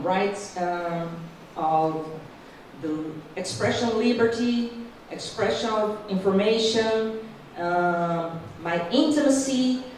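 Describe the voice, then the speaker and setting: Brazilian woman law professor, Portuguese accent; careful halting panel talk, very echoey hall with faint room noise. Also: mid-range voice, darkish tone. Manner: careful, halting, many restarts. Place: conference hall. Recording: distant mic in echoey hall.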